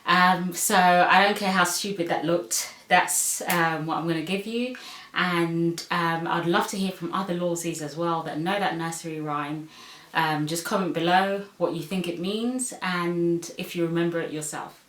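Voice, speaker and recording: A woman's voice: lowish voice, steady tone, moderate pace, fairly bright tone. A woman from Zambia chatting casually on her vlog, reading out questions with lots of ums and sos. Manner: chatty, many "um"s and "so"s. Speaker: vlogger. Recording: echoey room, far from mic.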